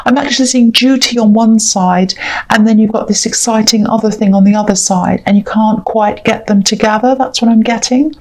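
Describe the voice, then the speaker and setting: Woman, hoarse croaky voice, fairly high pitch, hesitant musing tarot reading to camera. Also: normal speed, darkish tone. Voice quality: musing and hesitant, with many ums and self-corrections. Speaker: tarot reader.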